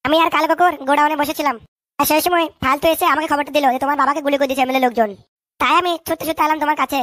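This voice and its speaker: An actor performs a boastful, hot-headed son in a comic cartoon. The voice is high and very fast, excitable and full of repeated bluster. It is recorded very dry. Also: no echo. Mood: defensive. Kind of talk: comic dialect cartoon dubbing.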